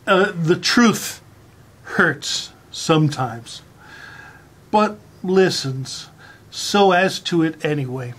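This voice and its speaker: A performer reads aloud in a mock-dramatic style, in a mid-pitched voice that swoops widely up and down. The delivery is slow and theatrical, with exaggerated emphasis and deliberate pauses. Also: lively intonation, fairly bright tone, slightly rough. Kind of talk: mock-dramatic reading of a garbled text.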